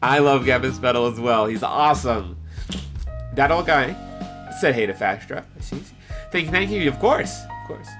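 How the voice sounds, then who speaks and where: A man with a slightly stuffy nose chatting casually with live stream viewers in an excitable, playful, rambling way. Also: medium pitch, moderate pace. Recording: music behind the voice. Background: background music with guitar.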